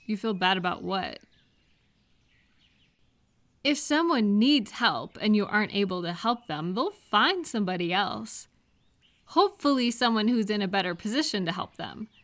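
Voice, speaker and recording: A woman playing a kind, caring friend in a comfort roleplay audio, speaking gently and reassuringly with soft questions. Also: medium pitch, lively intonation, normal speed, pauses often. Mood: gently firm. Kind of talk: comfort audio roleplay, one-sided conversation.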